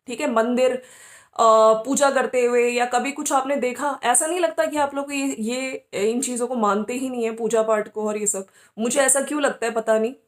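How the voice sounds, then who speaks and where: A woman giving casual opinion commentary as a host, talking conversationally and often checking for agreement. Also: high voice. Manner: conversational, often seeking agreement with tag questions.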